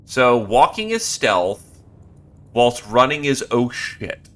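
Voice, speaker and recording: A man streaming a horror game live, reading on-screen text aloud and breaking into loud, excited outbursts. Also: mid-range voice, takes it slowly. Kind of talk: live gameplay commentary.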